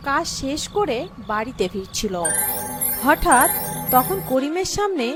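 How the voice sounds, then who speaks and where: A woman reads a children's folk tale as narrator. Her voice is high and swoops expressively, with music softly underneath. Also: sing-song, a little slow. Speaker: story narrator.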